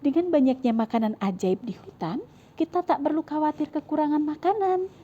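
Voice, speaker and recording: A woman voicing a calm, upbeat forest animal villager in a children's story, speaking in an eager, persuasive way. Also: high-pitched, a little fast, dull audio, a little hiss or hum, voice pushed up, close to an everyday voice.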